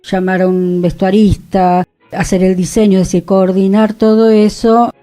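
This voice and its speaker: A woman's voice: mid-range voice, level tone, normal speed, dark tone, faint music behind. A woman co-hosting a cultural radio talk show, speaking in a thoughtful, conversational way. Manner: thoughtful, conversational, with occasional laughs. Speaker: radio co-host. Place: radio studio.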